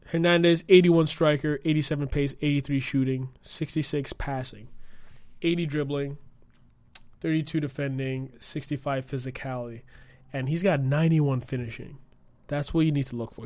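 Croaky young man with a cold. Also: a little high, a little mellow, no echo. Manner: chatty, rambling, lots of fillers. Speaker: podcast host and streamer. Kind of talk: casual solo podcast.

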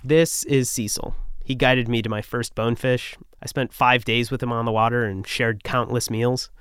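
A man in his twenties, a podcast host, narrating a scripted story in a measured way. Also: medium pitch, fairly even intonation, a bit bright, smooth, dry recording, studio quality. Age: 20s.

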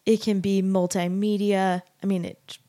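A woman in her thirties hosting an interview, playful and quick to laugh. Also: medium pitch, flat delivery, mellow, no room sound, clean studio sound. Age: 30s. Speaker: podcast host. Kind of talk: casual interview chat.

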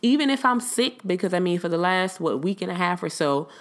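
A woman chats alone into her podcast mic, blunt and unfiltered, cursing freely and calling her listeners y'all. She sounds stuffed up and nasal from a cold. Her voice sits slightly low for a woman. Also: expressive, brisk. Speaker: podcast host. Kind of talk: casual solo podcast monologue.

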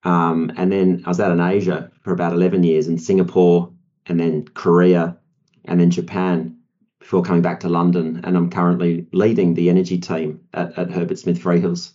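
A man's voice: sits low, monotone, normal speed, mellow, dull audio. A man in his forties with an Australian accent, a guest on a podcast, talking with many ums and you-knows. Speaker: law firm partner, guest. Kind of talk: podcast discussion.

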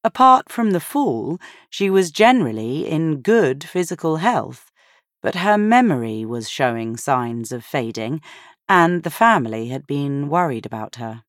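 A woman narrating a novel in a measured, expressive storytelling manner. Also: deep voice, dry recording, clean studio sound. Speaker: audiobook narrator.

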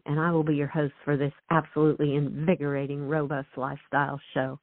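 A woman's voice: low voice, dry recording. A woman hosting a radio talk show, effusive and enthusiastic. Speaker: host. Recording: tinny phone line.

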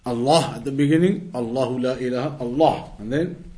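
A man's voice: mid-range voice, brisk, mellow. A man giving a religious lesson as a teacher, reading out texts and explaining them didactically, with key phrases repeated for emphasis.

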